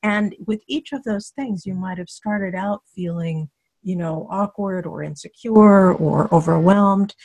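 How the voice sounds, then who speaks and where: A middle-aged woman leading a dating teleclass on a video call in relaxed, encouraging talk full of fillers and restarts. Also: medium pitch, a little slow, no room sound. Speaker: dating coach co-hosting a teleclass. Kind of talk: conversational teaching on a video call.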